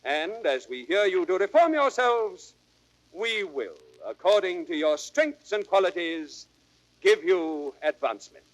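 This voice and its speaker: Stern young monarch, high-pitched, measured, cold and formal, vintage radio Shakespeare declamation. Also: male voice, big pitch swings, low-quality recording. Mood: stern, commanding. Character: newly crowned young king, stern and cold. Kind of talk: 1930s radio Shakespeare acting.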